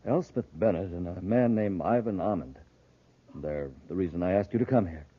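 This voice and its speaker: A man's voice: sits low, moderate pace, slightly rough. An actor playing an honest, nervous businessman, hesitant and earnest, in a 1950s radio serial. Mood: earnest, anxious. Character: nervous but honest businessman under blackmail. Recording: narrow, tinny old 1950s radio recording.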